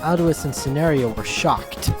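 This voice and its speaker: A man's voice: on the high side, moderate pace. A man reading scripted story narration in the role of narrator. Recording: dry voice over background music.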